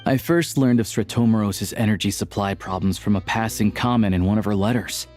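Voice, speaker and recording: A man playing a patient, caring character in a video game, speaking gently and earnestly. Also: fairly low pitch, brisk, close to an everyday voice. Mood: reflective, wistful. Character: patient, caring man who looks after an old woman. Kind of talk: video game voice acting, dialogue and exposition. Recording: background music under the dialogue.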